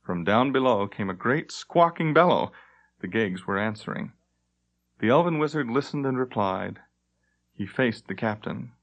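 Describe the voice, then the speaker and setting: A man's voice: mid-range voice, animated, normal speed, a bit bright. Man narrating fantasy, slightly muffled. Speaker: audiobook narrator.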